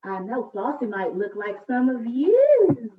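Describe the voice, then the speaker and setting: A woman's voice: a little high, very animated, measured pace, slight background noise. A woman hosting a live children's read-aloud, chatting to families at storytime. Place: indoors at home, on a live video call. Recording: echoey room, distant muffled mic.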